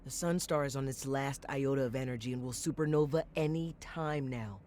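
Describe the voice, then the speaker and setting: An actor playing a cocky, excitable teenage alien boy captain, boastful and animated, in an animated video game. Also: medium pitch, a little fast, a little hiss or hum, lightly acted. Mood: grave.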